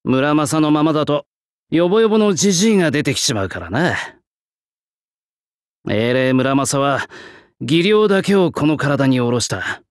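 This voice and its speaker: An actor playing a gruff swordsmith, an old soul in a young man's body, with blunt old-fashioned artisan speech in a game. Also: on the high side, very animated, moderate pace, long pauses, dry recording, clean studio sound. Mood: gruff, reflective. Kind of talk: Japanese game character voice lines.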